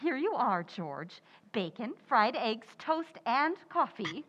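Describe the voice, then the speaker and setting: A woman's voice: on the high side, very animated, slow, a bit bright, exaggerated voice. A woman playing a stubborn, overconfident housewife who cannot cook, in a theatrical old-time radio sitcom style. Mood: cheerful, defensive. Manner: theatrical, breezy, bluffing. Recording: echoey hall, dull muffled sound.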